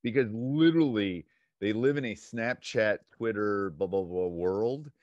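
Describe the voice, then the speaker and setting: Punchy American host, close dry sound. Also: male voice, fairly low pitch, measured pace, a little mellow, dry recording, lo-fi sound. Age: middle-aged. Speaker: host and basketball coach. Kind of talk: casual interview chat. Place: home room with bookshelves. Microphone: desk microphone close up, headset on.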